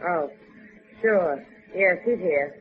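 A woman's voice: fairly low pitch, takes it slowly, faint music behind. A muffled, narrow vintage radio recording carries a wisecracking woman. Her delivery is cool, wry and teasing, the sardonic sidekick of a 1950s adventure serial. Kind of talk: 1950s radio drama acting.

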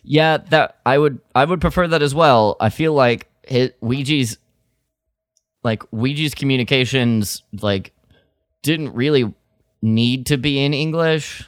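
A man's voice: mid-range voice, measured pace, no room sound, clean studio sound. A man co-hosting a podcast in casual chat, with an informal, playful way of bantering. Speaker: podcast co-host.

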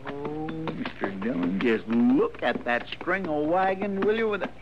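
Radio western role: garrulous, eager deputy, countrified, high male voice, noisy vintage sound. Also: expressive, a little slow. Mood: excited, wondering. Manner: rambling, countrified, deferential. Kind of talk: 1950s radio western acting. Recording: dull, noisy old radio recording.

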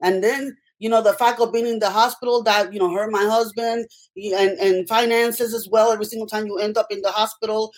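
A woman's voice: mid-range voice, steady tone, a little fast. A middle-aged woman with a Puerto Rican accent and Spanish as her first language, telling personal stories as an interview guest and often checking in with "okay?". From Puerto Rico. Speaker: interview guest, healthcare worker.

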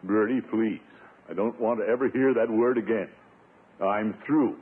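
An actor playing a blustering, jealous middle-aged bachelor in a 1950s radio sitcom, pompous and grumbling with theatrical exasperation. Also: male voice, fairly low pitch, normal speed, breathy edge. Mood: sulky, defeated. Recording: tinny, noisy 1950s radio recording.

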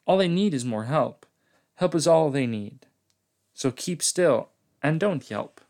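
A man's voice: on the high side, animated, a little slow, darkish tone, pitched above the performer's own voice. A man reading aloud as a mischievous, bossy cat in a hat, in a showy storybook delivery. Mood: boastful.

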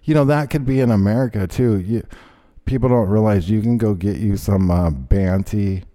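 Brief: male host, rambling indignant rant, low and mellow voice. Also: normal speed. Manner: rambling, indignant, many fillers. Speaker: show host. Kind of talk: ranting casual chat.

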